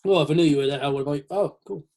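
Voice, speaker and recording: A man's voice: on the high side, very fast, darkish tone. A man in a casual, rambling, jokey chat, acting out little quotes as he goes. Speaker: host of a metal chat show.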